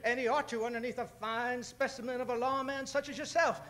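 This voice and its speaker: An actor playing an excitable, folksy, florid Old West town doctor in a radio comedy. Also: male voice, very high-pitched, a little fast. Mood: flattering, eager.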